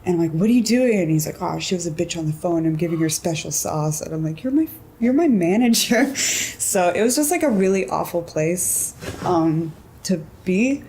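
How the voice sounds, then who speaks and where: A young woman with a notably deep, mellow voice, talking loosely and laughing often. She fills her speech with "like" and "um" in an easy, unguarded way. A faint hiss of background noise sits under the recording. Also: moderate pace, few pauses. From California. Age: 20s. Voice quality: low voice. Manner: relaxed, giggly, lots of "like" and "um" fillers. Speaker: interviewee. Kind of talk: casual interview.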